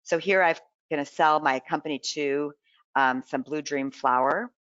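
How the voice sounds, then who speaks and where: A presenter giving a live software demonstration in an informal way, explaining and thinking aloud while navigating. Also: medium pitch, normal speed, clear, resonant tone, no room sound, lo-fi sound.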